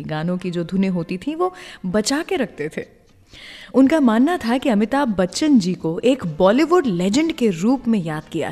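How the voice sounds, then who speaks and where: A woman's voice: on the high side, a little mellow. A woman hosting a music radio show, telling film trivia in a conversational way and speaking straight to her listeners. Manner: conversational storytelling, addresses listeners directly. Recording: long reverb, music underneath. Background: music bed.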